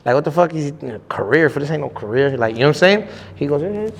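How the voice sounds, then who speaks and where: A man's voice: on the high side, expressive, quick pace, in a large hall. A young man telling his story in a casual interview, rambling with lots of restarts and slang. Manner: rambling, slangy, many restarts and "you know what I'm saying". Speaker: guest.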